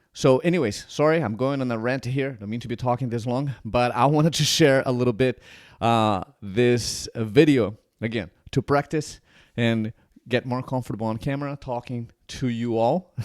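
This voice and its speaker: A man's voice: mid-range voice, dry recording, studio quality. A man in his forties from Brazil with a Brazilian accent, talking casually to camera as a channel host, rambling with many restarts. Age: 40s.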